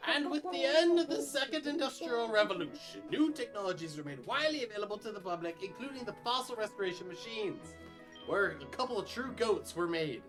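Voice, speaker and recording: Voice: medium pitch, expressive, a little fast, natural voice. Performer as friendly classroom teacher, instructive, swooping intonation, visual novel character, prominent music behind. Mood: upbeat lecturing. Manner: presentational, instructive. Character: friendly school history teacher addressing a class. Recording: music clearly audible behind.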